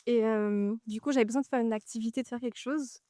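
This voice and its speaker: Relaxed young woman, smooth voice. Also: on the high side, fast, no echo. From French Guiana. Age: 20s. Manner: relaxed, hesitant, many fillers. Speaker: guest, medical student. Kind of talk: casual interview. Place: outdoors on a forest trail.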